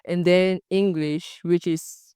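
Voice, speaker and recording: Young Cameroonian woman, French accent, very smooth clear voice, mid pitch, hesitant and searching for words, dry close recording. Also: fairly even intonation, slow, warm tone, no echo. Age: young adult. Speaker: student researcher. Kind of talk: documentary interview answers.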